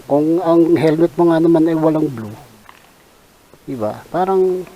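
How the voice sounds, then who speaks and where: A Filipino man giving casual unboxing commentary as a vlogger, halting and thinking aloud in an unscripted way. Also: on the high side, dark tone, low-quality recording. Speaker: vlogger reviewing a product. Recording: hissy background noise.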